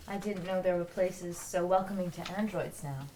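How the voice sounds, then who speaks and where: Woman acting as polite android, lowish voice, composed, drama table read, faint noise behind. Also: normal speed, mellow, natural voice, rough recording. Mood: composed, friendly.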